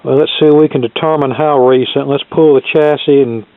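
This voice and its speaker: Male hobbyist demonstrator, mid-pitched, plain-spoken and unscripted, on a narrow phone-like recording with little room sound. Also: steady tone, a little fast, no room sound. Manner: plain-spoken, thinking aloud as he inspects. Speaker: vintage radio repair hobbyist presenter. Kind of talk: casual unscripted demonstration commentary. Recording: thin, phone-like band-limited sound.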